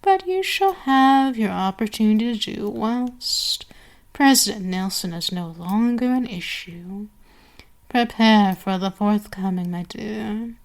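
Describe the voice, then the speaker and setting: A woman acting an imperious, slow-speaking vampire queen. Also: medium pitch. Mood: smug plotting. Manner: haughty, deliberate, with lingering pauses and breathy whispers. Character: imperious female vampire queen, seductive, cruel, domineering. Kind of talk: ASMR roleplay acting.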